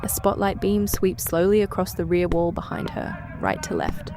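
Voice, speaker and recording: A woman's voice: lowish voice, steady tone, normal speed. A woman reading scripted scene narration in a detached, descriptive manner.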